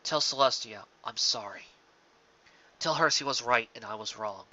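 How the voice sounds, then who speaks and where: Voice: medium pitch, normal speed, bright, forceful tone, pitched below the performer's own voice. A story reader voicing a remorseful, grieving male creature with a subdued, solemn delivery. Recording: dull lo-fi sound.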